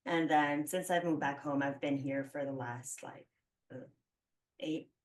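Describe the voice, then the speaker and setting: A woman's voice: low-pitched, steady tone, a little slow, darkish tone. A woman in her thirties from the Philippines, hosting a casual interview podcast and chatting reflectively with many "like" fillers. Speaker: podcast host. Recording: voice a bit distant from the mic.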